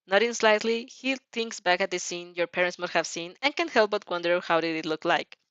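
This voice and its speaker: A woman's voice: lowish voice, a little fast, fairly bright tone, smooth, dry recording, dull audio. A woman reading fan fiction aloud as informal storytelling, giggly and prone to breaking off with comments. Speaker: fan fiction reader and dubber.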